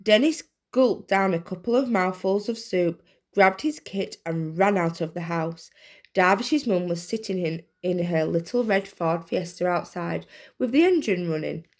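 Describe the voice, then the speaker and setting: A woman's voice: fairly low pitch, unhurried. A woman reading a children's storybook aloud as narrator. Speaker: reader, teacher.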